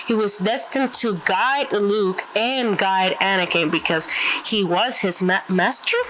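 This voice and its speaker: A woman whose first language is Spanish, chatting casually, animated and giggly, often stumbling over her words. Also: medium pitch, slight background noise, poor audio. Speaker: podcast co-host. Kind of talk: casual chat.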